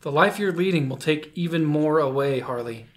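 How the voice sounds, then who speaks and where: A man's voice: a little high, normal speed, close to an everyday voice. A man acting an earnest, wary young hero in a fan audio drama.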